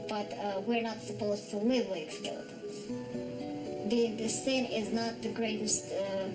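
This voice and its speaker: A woman in her forties from Russia with a Russian accent, speaking in a documentary interview in a measured way with pauses and restarts. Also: medium pitch, steady tone, loud music behind the voice, rough recording. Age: 40s.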